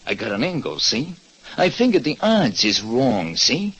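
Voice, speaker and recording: Lively, scheming racetrack hustler. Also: male voice, unhurried, husky, muffled recording, full character voice. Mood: sly, confiding. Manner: confidential, slangy sales pitch. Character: Tommy the Tout, scheming confidential racetrack hustler. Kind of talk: 1940s radio detective drama acting. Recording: noisy old radio recording with clicks.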